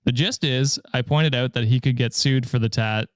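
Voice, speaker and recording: A man's voice: mid-range voice, normal speed, no room sound, clean studio sound. An American man hosting a podcast, reading Reddit stories aloud and chatting casually, laughing often. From United States. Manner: laughs often, relaxed storytelling with fillers. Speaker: podcast host.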